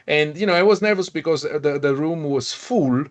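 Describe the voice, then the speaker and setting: A man, a podcast host, talking casually in a halting way with many restarts and fillers. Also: on the high side. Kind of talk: casual panel chat.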